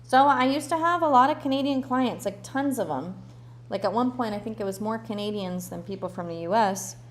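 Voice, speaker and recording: A woman's voice: fairly high pitch, brisk. A woman hosting a casual livestream Q&A, reading viewer comments aloud and answering in a loose, chatty way, thinking aloud with fillers and restarts. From born in Russia, grew up in the United States. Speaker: relocation consultant hosting a livestream Q&A.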